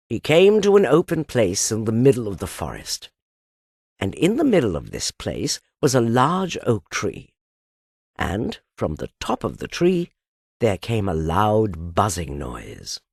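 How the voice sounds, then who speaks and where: A woman with a husky voice narrating a children's storybook in a theatrical, deliberate, expressive way. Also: a bass voice, dry recording, clean studio sound. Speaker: audiobook narrator.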